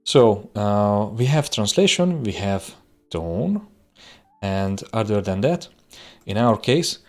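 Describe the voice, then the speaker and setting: Low-voiced man. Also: slow. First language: Hungarian. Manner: relaxed, hesitant, frequent "uh" fillers and restarts. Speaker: web design instructor. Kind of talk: screen-recording software tutorial.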